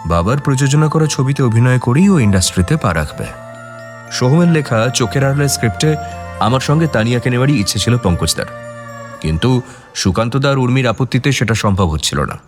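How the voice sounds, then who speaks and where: An actor as a defensive man under suspicion in a radio drama. His mid-pitched voice protests emphatically with big swings, music underneath. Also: lively intonation, fast talker, natural voice.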